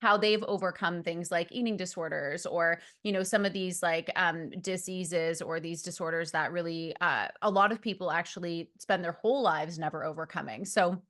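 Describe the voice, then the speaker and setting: A woman's voice: on the low side, fairly even intonation, normal speed, hardly any pauses, fairly bright tone. A young adult woman hosting a podcast, talking in a friendly way with many fillers as she introduces her guest. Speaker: podcast host.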